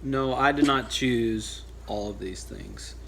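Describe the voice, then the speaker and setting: A husband bantering casually off-camera, playfully defensive, heard from a distance. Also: male voice, medium pitch, slow pace, gravelly, fairly bright tone. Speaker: vlogger's husband.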